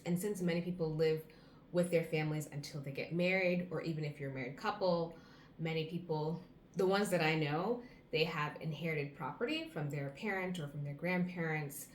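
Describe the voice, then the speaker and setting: An American woman in her thirties, talking casually to camera and giving earnest advice, listing things off one by one. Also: sits low. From United States. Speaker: vlogger. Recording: roomy echo, faint background hum.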